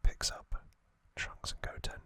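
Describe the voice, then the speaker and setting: A man's voice: unhurried, pushed and bright, dry recording. A man whispering in ASMR style as he recounts trivia, hesitant and full of ums and uhs. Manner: hesitant, self-correcting delivery. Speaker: ASMR creator.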